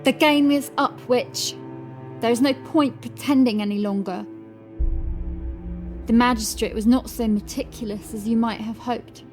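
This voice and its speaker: A woman's voice: on the high side, fairly even intonation, a little slow, a little mellow, natural voice. A woman voices a medieval inquisitor in a horror tale, full of scorn. The delivery is mocking and accusatory, with music playing underneath. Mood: triumphant, accusing. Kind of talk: dramatised horror audiobook acting.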